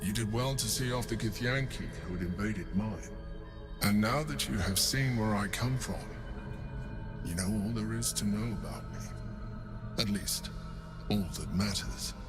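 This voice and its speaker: An actor playing a calm, calculating mind flayer in a video game, courteous, formal and measured. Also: male voice, fairly low pitch, music in the background, lightly acted. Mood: confiding calm. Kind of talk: dramatic video game dialogue.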